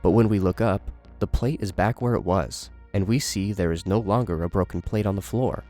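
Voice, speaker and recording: A man with a low voice narrates and comments on a horror series from a script. Faint music runs behind his delivery. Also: normal speed, mellow.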